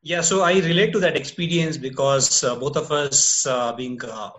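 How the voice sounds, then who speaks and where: A man's voice: a little high, moderate pace, a bit bright. A man with an Indian English accent, a company co-founder answering interview questions in a reflective, slightly philosophical way. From Odisha, India.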